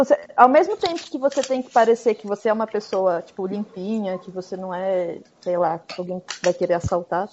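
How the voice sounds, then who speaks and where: A woman's voice: medium pitch, a little mellow, slight background noise. A Brazilian woman talking casually as a guest about hitchhiking, peppering her speech with fillers. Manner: chatty, frequent "tipo" fillers. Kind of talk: casual conversation. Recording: dull lo-fi sound.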